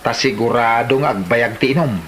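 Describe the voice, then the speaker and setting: A man's voice: medium pitch, fairly quick, a bit bright, slight background noise, natural voice. Easygoing Ilocano drinking pal played by a man. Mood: planning. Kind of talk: comedy drama acting in a local skit.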